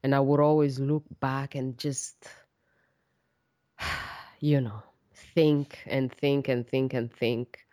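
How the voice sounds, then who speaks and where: A woman with a Rwandan accent and a notably low, resonant voice, recorded close and dry. She speaks as an interview guest, reflecting on her own life. Her delivery is thoughtful and halting, often searching for the right word. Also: flat delivery, a little mellow, dry recording. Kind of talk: reflective personal storytelling in an interview.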